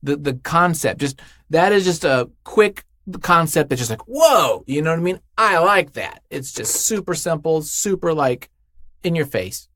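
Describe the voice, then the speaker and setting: A man's voice: fairly high pitch, expressive, a little slow, a bit bright. A man being interviewed in a studio as a writer, chatting casually in an effusive, jokey, rambling way. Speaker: interview guest, podcast writer. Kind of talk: casual, unscripted interview chat.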